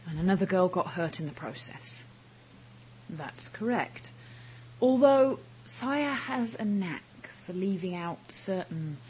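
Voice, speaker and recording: Husky, intense actress as a formal woman. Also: medium pitch, full character voice, low-quality recording. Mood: controlled, probing. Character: women in tense formal confrontation at a school. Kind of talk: audio drama acting.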